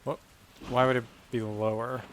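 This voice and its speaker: A man's voice: medium pitch, flat delivery, moderate pace, a little hiss or hum. A man chatting casually and asking questions as a player in a tabletop game. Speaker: tabletop role-playing player.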